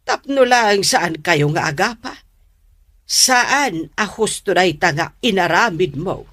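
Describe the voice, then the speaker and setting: A woman acting a stern, concerned middle-aged mother in a radio drama, admonishing in a deliberate way. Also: lowish voice, animated, fairly bright tone, exaggerated voice.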